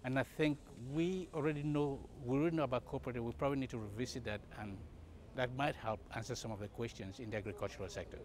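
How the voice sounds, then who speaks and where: A man's voice: medium pitch, steady tone, quick pace, a little mellow, slight background noise. A man from Cameroon answering interview questions at a conference, with frequent fillers and restarts. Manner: hesitant, many fillers and restarts. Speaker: congress participant, medical company CEO. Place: conference event.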